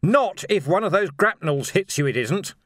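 A man voicing a gruff male commander in battle, urgently barking orders in an audiobook. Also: medium pitch, expressive, fast, a bit bright, dry recording, clean studio sound, lightly acted. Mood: urgent, scolding.

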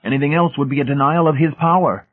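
A man's voice: a little high, quick pace, phone-quality sound, pitched above the performer's own voice, natural voice. A man voicing a smug young male zealot technician in an audiobook, pompous, declamatory and sanctimonious. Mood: triumphant, incredulous.

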